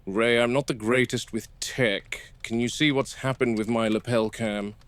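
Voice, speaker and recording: A man performs a game warden in a found-footage horror drama. His mid-pitched voice is measured and plain-spoken, with a wary, probing manner. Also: steady tone, no room sound, natural voice. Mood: casual. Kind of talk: scripted found-footage horror film dialogue.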